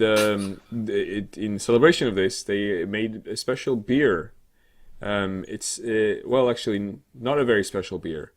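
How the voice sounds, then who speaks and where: A man co-hosting a casual gaming talk show, rambling and halting, with an irreverent, sarcastic, sweary way of talking. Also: fairly low pitch, fairly bright tone. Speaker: co-host of a gaming news show. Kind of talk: casual chat about games news.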